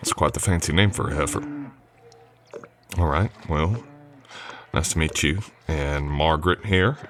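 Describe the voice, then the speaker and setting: A man playing a polite, easygoing drifter cowboy in an old west roleplay, soft-spoken, with folksy, slightly hesitant courtesy. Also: extremely low pitch, unhurried, a crowd in the background. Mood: friendly, polite. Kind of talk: ASMR roleplay acting, addressing the listener.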